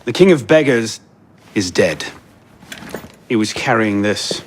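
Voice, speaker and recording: An actor playing a serious young assassin in a video game, speaking in a terse, earnest, understated way. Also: male voice, fairly low pitch, expressive, slow, a little hiss or hum. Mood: earnest, subdued. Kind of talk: video game cutscene acting.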